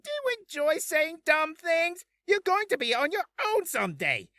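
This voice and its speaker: Shrill, haughty ventriloquist's dummy. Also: male voice, huge pitch swings, moderate pace, no room sound, full character voice. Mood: scornful. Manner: scolding, loud, shrill. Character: bossy, haughty, short-tempered male ventriloquist's dummy. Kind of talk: character voice audition reel.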